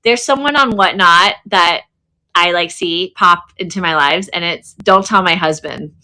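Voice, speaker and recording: A woman's voice: fairly low pitch, unhurried, sharp tone. A woman hosting a live online sale in casual chat, effusive and chatty, often repeating words for emphasis. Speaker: host of an online selling livestream.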